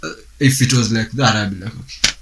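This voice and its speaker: A man's voice: lowish voice, steady tone, fast, a bit bright, distant mic. A Kenyan man co-hosting a podcast, chatting in a casual, profane, joking way. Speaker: podcast co-host. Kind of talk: casual chat.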